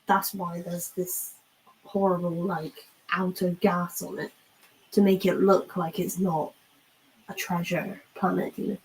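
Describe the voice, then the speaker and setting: A woman's voice: lowish voice, level tone, unhurried, recorded from a distance, low-quality recording. A woman co-hosting a podcast in informal chat about a series, chiming in and quoting characters. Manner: informal, interjecting, quotes characters. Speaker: podcast co-host. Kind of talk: casual chat recapping a TV series.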